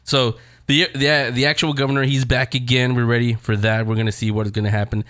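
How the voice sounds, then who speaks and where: A man's voice: medium pitch, little pitch movement, quick pace, a bit bright, dry recording, studio quality. A man hosting a show in a casual, rambling, conversational style, talking straight to his audience. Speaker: show host. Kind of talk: casual chat reviewing TV shows.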